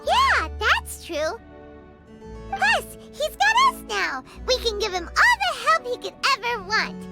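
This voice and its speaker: A woman voicing a tiny, cheerful, chatty childlike fairy companion in an animated video game, with a perky manner. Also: very high-pitched, slow, loud music behind the voice, exaggerated voice. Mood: warm, loyal. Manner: speaks of self in third person, perky.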